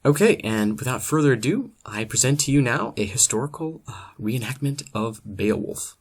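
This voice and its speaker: A man presenting a comedic scripted literature lecture as host, in a deadpan, mock-scholarly manner. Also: mid-range voice, normal speed, husky.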